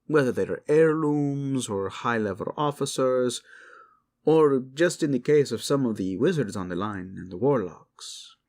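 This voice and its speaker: A man's voice: mid-range voice, lively intonation, unhurried, darkish tone. A man playing a weary, modest and loyal knight-captain, sombre and earnest, in a fantasy audio drama.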